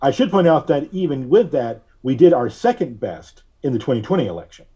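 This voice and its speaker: A man speaking as a podcast guest, giving conversational political commentary in a measured, wry, halting way. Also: mid-range voice, sing-song, mellow, slightly rough, highs cut off.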